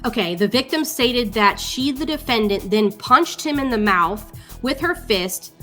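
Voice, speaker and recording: A woman's voice: a little high, animated, measured pace, a bit bright, soft background music. A woman reading a document aloud, adding short explanations in her own words. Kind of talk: reading a report aloud with asides.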